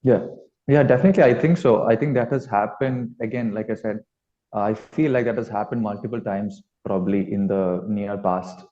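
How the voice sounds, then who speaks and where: A man's voice: lowish voice, moderate pace, warm tone. A man giving casual, tentative interview answers as a band musician, on slightly dull audio. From South India. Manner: tentative, thinking aloud.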